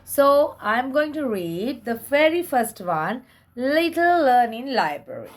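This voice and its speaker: Female children's book reader, higher voice, lively melodic swings, very unhurried word-by-word delivery for little ones. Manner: simple, deliberate word-by-word delivery. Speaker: presenter showing and reading children's books. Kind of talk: slow read-aloud for toddlers.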